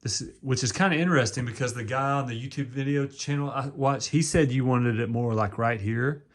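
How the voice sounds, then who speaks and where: Folksy man with a deep voice. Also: a little fast. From East Texas. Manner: rambling, folksy, lots of ums. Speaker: vlogger. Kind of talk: casual home how-to video.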